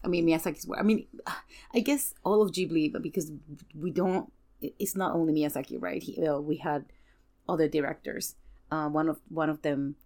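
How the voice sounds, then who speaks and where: A woman's voice: low-pitched, steady tone, normal speed, warm tone. A woman chatting casually as a podcast guest, telling stories and explaining asides as she goes.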